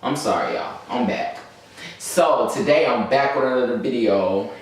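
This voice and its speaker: A man's voice: mid-range voice, unhurried. A young man with a Southern US accent chats casually to camera as a vlogger, in a slangy, rambling, easily distracted way. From Birmingham, Alabama, United States. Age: young adult. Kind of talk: casual story-time chat to camera. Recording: very echoey room, distant mic, faint noise.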